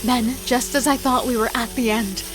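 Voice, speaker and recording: A woman's voice: fairly high pitch, fairly even intonation, normal speed, music in the background, natural voice. A woman playing a wary, devoted female killer in a horror audio drama, telling and living the story with tense emotion. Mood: breathless, fearful. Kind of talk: first-person dramatic narration and horror audio drama dialogue.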